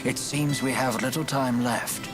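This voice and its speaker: A man playing a dignified, formal advisor in a video game, speaking gravely and with measured care. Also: medium pitch, fairly even intonation, over music, natural voice. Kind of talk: video game English dub acting.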